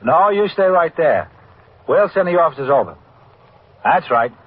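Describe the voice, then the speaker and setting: An actor playing an unhurried police desk sergeant taking calls in a 1950s radio drama. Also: male voice, fairly high pitch, sing-song, breathy edge. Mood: businesslike. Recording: tinny phone-like, hissy old radio recording.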